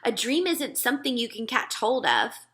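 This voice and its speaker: A woman's voice: a little high, very animated, normal speed, a bit bright. A woman voicing a small, curious, earnest girl in a children's storybook, questioning and pleading persistently. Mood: puzzled. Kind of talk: children's storybook read-aloud.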